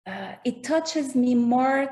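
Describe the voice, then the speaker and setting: A woman's voice: high-pitched, animated, takes it slowly, darkish tone. A woman with a French accent, a native French speaker, talking as a musician guest in an interview and carefully searching for her words in English. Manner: thoughtful, searching for words in a second language. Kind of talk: casual interview chat.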